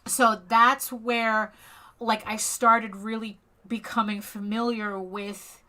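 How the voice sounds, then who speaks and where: A middle-aged woman from Spain with a bright, crisp tone. She talks casually as an interview guest over a video call, often restarting her sentences and breaking into chuckles. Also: on the high side, level tone. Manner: halting, with frequent restarts and chuckles. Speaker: comic artist guest.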